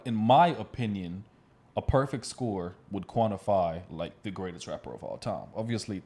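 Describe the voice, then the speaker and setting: A somewhat low-voiced American man co-hosts a casual music talk. He lays out his reasoning in an explanatory way, checking that the listener follows him. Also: darkish tone. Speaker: podcast co-host.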